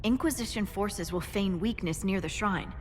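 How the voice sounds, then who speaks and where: A woman's voice: moderate pace, lightly acted. A woman playing a practical, wry military scout officer in a game, plain-spoken and matter-of-fact. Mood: wry, businesslike. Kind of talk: video game dialogue. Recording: reverberant, faint rumble.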